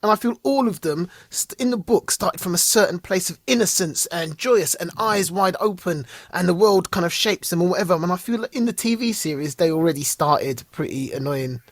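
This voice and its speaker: A man's voice: sits high, brisk, few pauses, no room sound, studio quality. A man co-hosting a podcast, chatting casually about TV shows, laughing often and trailing off mid-sentence. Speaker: podcast co-host. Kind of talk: casual chat reviewing TV shows.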